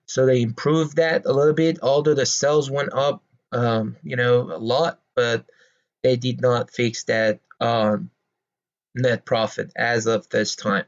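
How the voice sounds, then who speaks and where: A man with a Persian accent explains a stock's fundamentals in English, his second language, at a medium pitch. He talks casually and hesitantly, filling gaps with uh and you know and restarting phrases. The recording sounds dull and lo-fi. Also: fairly even intonation, measured pace. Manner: hesitant, many fillers and restarts. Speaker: investing video host. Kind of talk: casual stock analysis talk.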